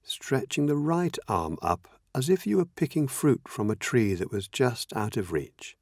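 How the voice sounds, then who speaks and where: A man leading a guided meditation as a teacher, speaking gently in measured, unhurried phrases with long pauses. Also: medium pitch, very animated, no room sound, clean studio sound. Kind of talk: guided meditation, slow spoken instructions.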